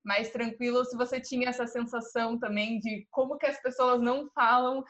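A woman's voice: fairly high pitch, fairly even intonation, fairly quick, fairly bright tone. A woman hosting a casual interview, asking thoughtful questions and sharing memories. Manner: curious, reflective questions. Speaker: host.

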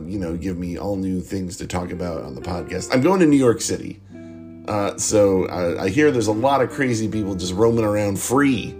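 Middle-aged male podcast host, husky from strain, lower register, jokey and self-deprecating. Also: a little mellow. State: losing his voice. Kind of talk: casual rambling podcast outro.

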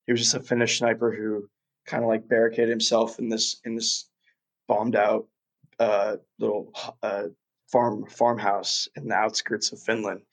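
A man's voice: fairly low pitch, level tone, moderate pace, poor audio. A young man from Russia, a guest chatting casually in an interview, rambling with lots of fillers and restarts.

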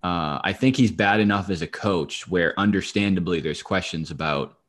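A man with a fairly low voice hosts a casual sports talk show. He chats in a wry, dry way and swears freely as he gives his commentary. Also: normal speed. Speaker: sports podcast host.

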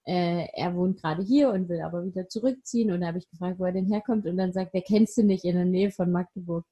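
A young woman from Saxony-Anhalt chatting casually and telling stories in a measured way. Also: fairly low pitch, dark tone, highs cut off. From Saxony-Anhalt, Germany. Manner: measured, storytelling. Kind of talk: casual chat.

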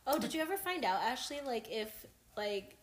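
A woman's voice: fairly high pitch, very animated, normal speed, background noise. A woman chatting casually and informally, dotting her sentences with "like" as a podcast co-host. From United States. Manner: informal, uses many likes. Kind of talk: casual chat.

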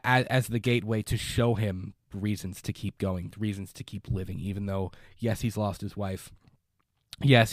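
A man's voice: mid-range voice, a little mellow, dry recording, clean studio sound. A young man with a stuffed-up, congested voice hosting a casual movie podcast from his basement, rambling and repeating words. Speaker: podcast host. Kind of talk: casual live podcast review.

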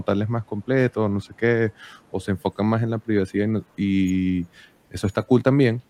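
A man hosts a relaxed interview with a fairly low voice. He talks at length and asks long, winding questions. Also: dry recording. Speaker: interviewer, host. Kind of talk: casual interview.

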